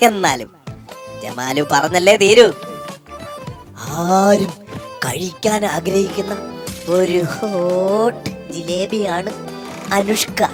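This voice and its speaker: A woman presenting scripted celebrity gossip in a playful, teasing, theatrical way, drawing out words for emphasis. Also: mid-range voice. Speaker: entertainment gossip show presenter.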